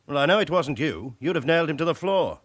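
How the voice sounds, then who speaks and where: A middle-aged police commander in a radio play. The voice is fairly high and very mobile in pitch. He fires out questions with curt authority. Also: lively intonation, fast. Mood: irritated. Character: stern, suspicious male police chief. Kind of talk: naturalistic radio drama acting.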